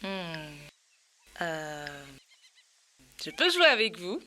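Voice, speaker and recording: A woman's voice: lowish voice, sing-song, very slow, pushed and bright, soft background music, heavily acted. A woman voicing an eager, friendly owl in a children's educational cartoon, with a playful, exclamatory delivery. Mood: hesitant, friendly. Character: eager, friendly owl who sings at night.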